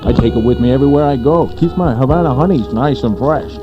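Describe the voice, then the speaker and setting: A man playing a cocky, smooth-talking cigar salesman in a radio commercial sketch. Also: medium pitch, fast talker, natural voice. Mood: cocky, slick. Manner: cocky, slick. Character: smooth wisecracking cigar-selling tough guy. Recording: dull band-limited radio recording with music.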